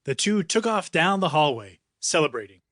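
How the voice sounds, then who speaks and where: A man's voice: a little high, big pitch swings, moderate pace, fairly bright tone, no echo, clean studio sound. A man reading a children's audiobook in a dramatic, playful storytelling manner. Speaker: author reading his own audiobook. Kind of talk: scripted children's story narration.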